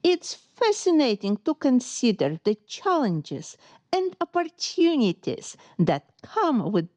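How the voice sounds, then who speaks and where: A woman hosting a podcast, reading a formal introduction and asking measured, polite questions. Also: fairly high pitch, a little mellow, dry recording. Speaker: podcast host. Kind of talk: podcast interview and scripted intro.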